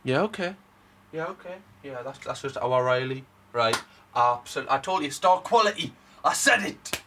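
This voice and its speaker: A man's voice: medium pitch, expressive, normal speed, sharp tone, slight background noise. A young man hosting a reaction video, joking and talking casually with many fillers. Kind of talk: casual commentary.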